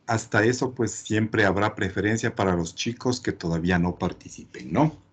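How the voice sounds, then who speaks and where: A man's voice: fairly low pitch, normal speed, breathy edge. A man teaching a class, didactic and often adding short check questions. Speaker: chemistry teacher.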